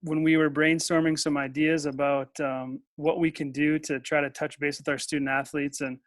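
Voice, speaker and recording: A man's voice: on the high side, flat delivery, normal speed, no room sound. An American man hosting a casual interview. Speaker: interview host.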